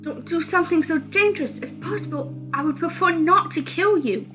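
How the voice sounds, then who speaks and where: A woman's voice: extremely high pitch, normal speed, fairly bright tone, faint music behind, voice pushed up, rough recording. A woman voicing a furious, arrogant young girl spirit from a visual novel game, scolding with hidden concern. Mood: angry, scolding.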